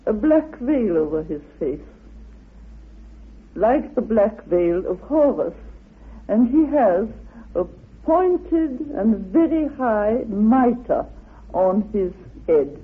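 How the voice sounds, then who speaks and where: Husky, halting speaker, phone-like sound. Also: medium pitch, big pitch swings. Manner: deliberate, halting delivery. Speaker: interviewed researcher. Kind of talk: explanatory interview talk. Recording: narrow phone-like, lo-fi radio recording.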